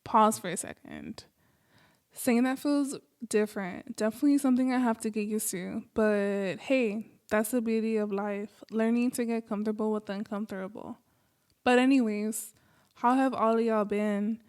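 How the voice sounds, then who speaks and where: Higher-voiced American woman podcaster. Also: moderate pace, a little mellow, clean tone. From Los Angeles, United States. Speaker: podcast host. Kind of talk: casual, chatty solo podcast monologue.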